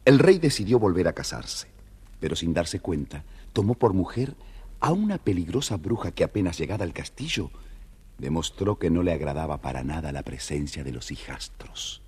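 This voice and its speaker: A man narrating a children's fairy tale from a script in a theatrical, raspy voice. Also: low-pitched, very animated, normal speed, darkish tone. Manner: theatrical storytelling.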